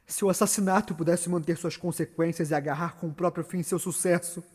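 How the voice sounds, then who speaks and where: A brooding, conflicted nobleman of classical tragedy performed by a male actor. He declaims gravely and deliberately in a somewhat high male voice. The sound carries a long hall reverb. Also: steady tone, a little mellow. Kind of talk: theatrical Shakespearean soliloquy.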